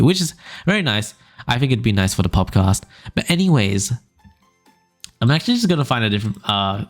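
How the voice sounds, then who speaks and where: A young man hosting a casual podcast, reading funny stories aloud in a smooth low voice, rambling with fillers and small laughs. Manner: relaxed, rambling, frequent fillers and little laughs. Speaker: podcast host. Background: faint background music.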